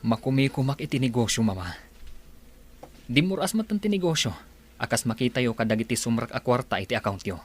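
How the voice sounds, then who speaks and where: A man's voice: medium pitch, animated, brisk, faint music behind, natural voice. A man playing a businesslike adult son, confident and reassuring, in an Ilocano Filipino radio drama.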